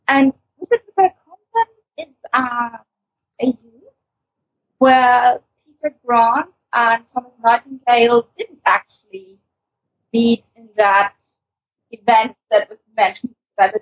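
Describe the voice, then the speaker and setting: A woman chatting casually as a podcast co-host, enthusiastic and speaking in halting phrases. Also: on the high side, slightly rough. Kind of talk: casual podcast chat, recommending audio stories. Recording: muffled, lo-fi voice recording.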